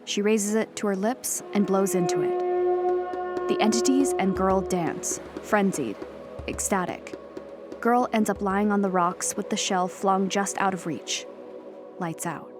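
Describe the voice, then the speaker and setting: A woman's voice: medium pitch, lively intonation, a little slow, over loud music. A woman narrating an audio play, reading stage directions as scripted dramatic narration.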